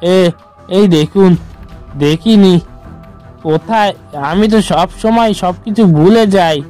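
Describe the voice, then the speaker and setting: Man acting a grave, slow-talking village rickshaw driver. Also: sits high, mellow, faint music behind. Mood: absent-minded. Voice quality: grave-toned. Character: grave, eerie village auto-rickshaw driver, forgetful and cryptic.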